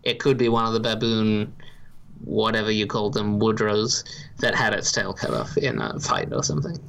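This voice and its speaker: A man from Ireland with no marked accent, co-hosting a podcast and calmly laying out his reasoning in a dry, deliberate way. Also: lowish voice, vocal fry, poor audio. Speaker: podcast co-host. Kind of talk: casual podcast chat.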